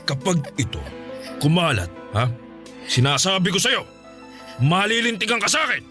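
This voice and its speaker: An actor playing a harsh, threatening middle-aged uncle in a radio drama, who interrogates and barks orders. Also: male voice, high voice, sing-song, normal speed, pushed and bright, faint music behind, exaggerated voice. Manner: bullying, interrogating, barking orders. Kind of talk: classic radio drama acting.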